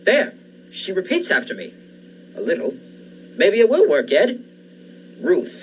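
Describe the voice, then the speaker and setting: A man's voice: high voice, wildly swooping pitch, measured pace, heavily acted. Man acting a fraught, wildly swooping obsessed scientist. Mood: eager hope. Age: young adult. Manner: fraught, overwrought, pleading. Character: obsessed, distraught scientist reviving his dead wife. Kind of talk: 1940s radio thriller acting. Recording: dull lo-fi recording with faint noise.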